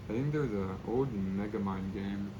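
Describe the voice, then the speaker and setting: A young man chatting casually and rambling in a low-key way while he spray paints. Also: normal speed, lo-fi sound, background noise.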